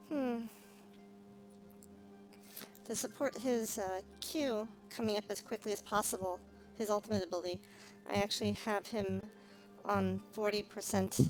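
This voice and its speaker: A woman streamer giving casual live game commentary, thinking aloud with frequent pauses. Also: mid-range voice, poor audio. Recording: music behind the voice.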